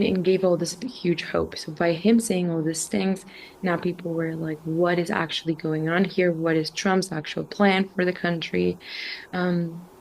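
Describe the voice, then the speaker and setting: A woman's voice: lowish voice, a little mellow, a little hiss or hum, low-quality recording. A woman from Venezuela, a journalist, earnestly explaining events step by step in an interview, with frequent fillers and restarts. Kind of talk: interview answers, chronological account. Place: Caracas.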